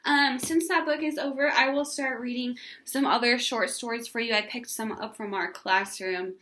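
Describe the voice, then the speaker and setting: A woman's voice: on the high side, steady tone, normal speed, bright, forceful tone. A woman teacher reading a children's storybook aloud and talking to her class. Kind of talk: storybook narration. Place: indoors at home. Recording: echoey room.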